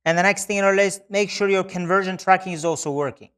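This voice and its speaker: A man's voice: high voice, fairly even intonation, quick pace, fairly bright tone, clear, resonant tone. A man who speaks as a narrates a screen-share tutorial as a specialist presenter, explaining methodically step by step.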